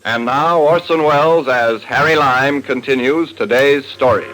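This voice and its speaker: A man's voice: mid-range voice, a little slow, a bit bright, faint music behind, poor audio. A man announcing a radio drama in a formal 1950s broadcast style. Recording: vintage radio recording.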